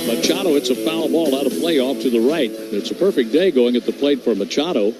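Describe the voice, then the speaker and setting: A man working as a radio play-by-play announcer, calling baseball pitch by pitch in a brisk, steady way that rises on big plays. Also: loud music behind the voice. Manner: brisk, steady calls that lift on hits.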